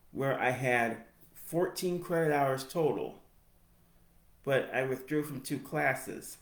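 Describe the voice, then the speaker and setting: An American man in his forties with a speech impediment, giving a personal reflective narration in halting, deliberate phrases. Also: medium pitch. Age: 40s. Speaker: higher education professional telling own story. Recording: roomy, echoey sound.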